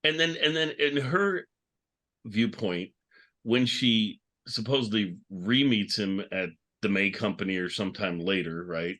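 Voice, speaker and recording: A man's voice: fairly low pitch, measured pace, bright, forceful tone. A man chatting casually as a panelist in an informal discussion. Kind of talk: casual chat. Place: remote call for a virtual convention.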